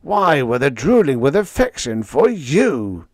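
A man's voice: medium pitch, big pitch swings, a little slow, no echo, pitched above the performer's own voice. A man voicing a blustering, hot-tempered wrestling manager who screeches and howls his questions, in a dry close recording. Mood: outraged. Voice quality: screeching, howling.

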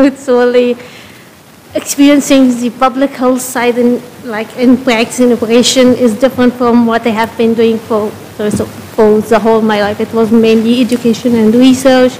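A woman's voice: a little high, flat delivery, measured pace, darkish tone, slight background noise, low-quality recording. A woman from Egypt introducing herself at a community board meeting, speaking at a podium mic with many hesitations. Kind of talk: public meeting remarks. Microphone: podium microphone.

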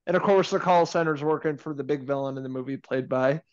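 A man in his thirties co-hosting a casual movie review over a video call from home, relaxed and chatty. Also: medium pitch, quick pace, dull audio. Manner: relaxed, chatty, laughs easily. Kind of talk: casual film review chat. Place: home room indoors. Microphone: video call webcam.

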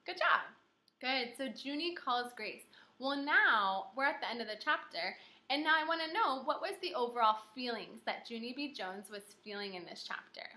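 A young American woman with a fairly high, very bright voice leads a lesson for small children. She is animated and encouraging, keeps her words simple and steers her listeners with questions. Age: young adult. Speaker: elementary school teacher. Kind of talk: interactive children's read-aloud lesson. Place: small indoor room with whiteboard.

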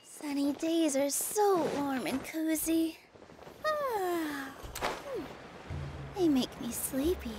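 A woman voicing a small, gentle, dreamy girl in a video game, soft and cute. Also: high-pitched, lively intonation, slow pace, pauses often. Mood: sleepy, content. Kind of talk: video game character voice lines. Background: loud game music and effects.

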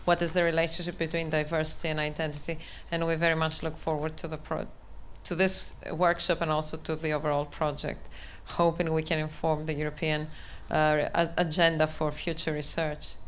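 A woman's voice: deep voice, flat delivery, a little fast, slight background noise. A woman researcher introducing her work at a conference, speaking steadily and fluently into a handheld microphone in a large hall. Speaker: university researcher.

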